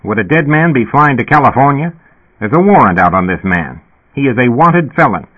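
A man's voice: medium pitch, very animated, a little fast, narrow, tinny audio, pitched above the performer's own voice. A man voicing a blunt, exasperated policeman in an audiobook, arguing with incredulity. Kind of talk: audiobook character voice.

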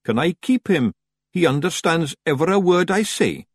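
A man's voice: on the high side, expressive, moderate pace, breathy edge, dry recording, studio quality, voice pushed up. A man voicing a tiny, nervous, cheeky fairy man, wheedling and squirming. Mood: sheepish.

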